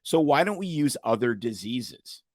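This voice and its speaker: A man from Canada tells a story on a podcast with a lively, swooping delivery, animated and joking, and now and then throws in mock ad-announcer bits. His voice sits at an ordinary pitch, and the recording is close and dry. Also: moderate pace, dry recording. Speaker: podcast co-host. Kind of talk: casual comedic chat.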